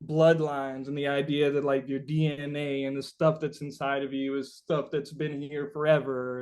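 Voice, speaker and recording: A man being interviewed as a musician, talking reflectively and philosophically, circling back and repeating his phrases as he goes. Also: medium pitch. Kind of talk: reflective, rambling interview conversation.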